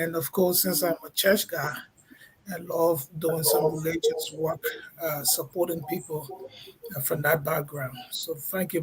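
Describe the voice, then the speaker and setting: Pastor guy from liberia, polite and upbeat, slightly high voice, on a video call. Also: a little hiss or hum, poor audio. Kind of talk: guest introduction on an online panel.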